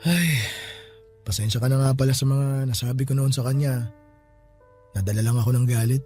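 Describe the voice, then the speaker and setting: A man's voice: mid-range voice, moderate pace, mellow, lightly acted. A man acting a superstitious, grieving young friend at a wake in a Filipino radio drama, with a Filipino accent and colloquial speech. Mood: somber, apologetic. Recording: music underneath.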